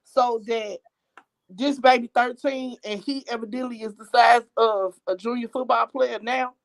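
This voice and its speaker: An older woman calling in to a show, talking bluntly and excitedly in casual slang. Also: on the high side, unhurried, creaky, low-quality recording. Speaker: caller. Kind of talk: casual phone-in chat.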